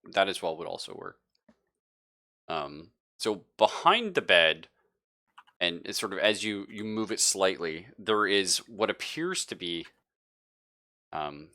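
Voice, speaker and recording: A man with a low, bright, forward voice works as game master. He describes scenes off the cuff in informal, halting phrases, thinking aloud as he goes. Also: expressive. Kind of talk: improvised narration of a tabletop roleplaying game.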